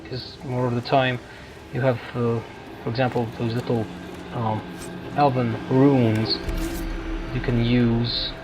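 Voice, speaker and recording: A man's voice: medium pitch, level tone, measured pace, rough recording. A man giving casual, rambling commentary on his own video game playthrough, thinking aloud as he plays. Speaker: gamer narrating own playthrough. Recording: game music behind voice. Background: video game music.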